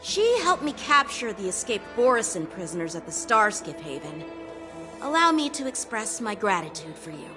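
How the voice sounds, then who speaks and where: A woman's voice: medium pitch, big pitch swings, normal speed, over music, natural voice. A woman voicing an earnest, polite young swordfighter apprentice in a video game, eager and formal. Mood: eager, surprised. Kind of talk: video game story dialogue.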